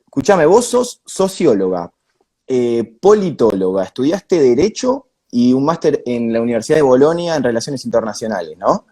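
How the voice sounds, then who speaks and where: A man's voice: mid-range voice, sing-song, normal speed, darkish tone. A man with a Buenos Aires Argentine accent, conducting an informal interview in a colloquial, direct way. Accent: Buenos Aires Argentine Spanish. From Buenos Aires area, Argentina.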